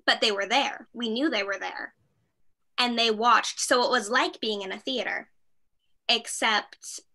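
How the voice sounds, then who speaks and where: A woman with a high, very bright voice talks casually as part of a panel. She is chatty and slips into quoting thoughts and reactions as she explains things. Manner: chatty, acts out thoughts and reactions in quoted speech. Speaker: stage actor.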